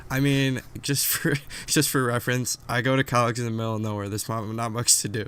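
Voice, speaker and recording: An eighteen-year-old man co-hosting a podcast, easygoing in casual chat and thinking out loud. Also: mid-range voice, little pitch movement, fairly quick, smooth. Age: late teens. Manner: easygoing, thinks aloud, self-corrects. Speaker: podcast co-host.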